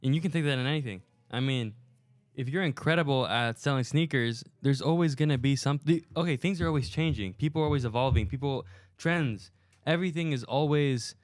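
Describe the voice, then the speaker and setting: A man's voice: mid-range voice, fairly quick, no echo. A young man hosting a casual podcast in a recording studio, talking loosely with lots of fillers and slang into a headset mic. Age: young adult. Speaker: podcast host.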